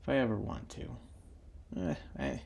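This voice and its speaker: A man's voice: on the low side, big pitch swings, normal speed, vocal fry, a little mellow, slight background noise. A young man talking casually while drawing for his own video channel, meandering with many fillers and restarts. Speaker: drawing YouTuber.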